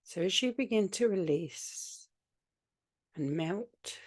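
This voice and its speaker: A woman guiding a yoga nidra relaxation, speaking soothingly in short, unhurried phrases with long pauses between them. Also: mid-range voice, expressive, darkish tone, no room sound, studio quality. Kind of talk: guided meditation, spoken relaxation practice.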